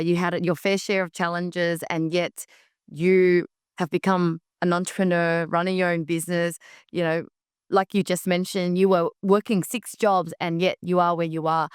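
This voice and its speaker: A woman's voice: lowish voice, clear, resonant tone, dry recording, studio quality. A woman hosting a podcast interview, friendly and curious, asking long strings of questions. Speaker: podcast host. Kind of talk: casual interview.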